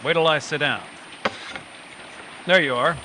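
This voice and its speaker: An actor playing a decisive, businesslike adventure hero in 1940s radio style, brisk and commanding. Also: male voice, on the high side, noisy background, natural voice.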